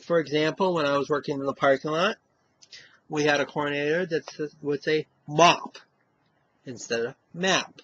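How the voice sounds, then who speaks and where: Vlogger, male, Western Mass accent; slow, rambling delivery, dull lo-fi home recording. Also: a little high, fairly bright tone. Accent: Western Massachusetts. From Western Massachusetts. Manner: rambling, many "you know?" asides. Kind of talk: casual talk to camera.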